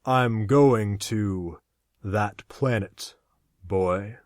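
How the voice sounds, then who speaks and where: A man voicing a cold, deep-voiced man who speaks slowly in a flat, mechanical tone. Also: no room sound, studio quality.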